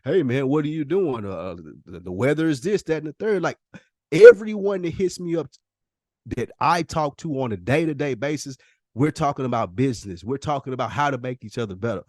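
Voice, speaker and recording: An American man with a medium-pitched voice talks casually as a podcast co-host. He sounds earnest and emphatic, using slang and circling back over his phrases. The sound is dry, with no room echo. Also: lively intonation, brisk, darkish tone. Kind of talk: casual conversational podcast talk.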